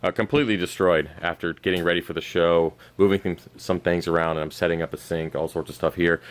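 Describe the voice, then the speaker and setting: A man's voice: deep voice, steady tone, fast talker. A worn-out man who is losing his voice and whose throat is going scratchy. He hosts his aquarium channel with a loose, rambling, unscripted update full of fillers and restarts, recorded on a camera mic as he walks around his cluttered home fish room. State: exhausted. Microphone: camera mic while walking around.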